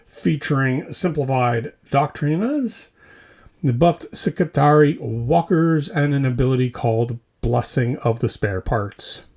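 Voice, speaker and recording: A man's voice: on the high side, a little mellow. A man hosting a scripted, tongue-in-cheek news roundup in short, punchy phrases. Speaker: host of a tabletop wargaming hobby news podcast. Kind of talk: scripted comedic news roundup with mock commercials.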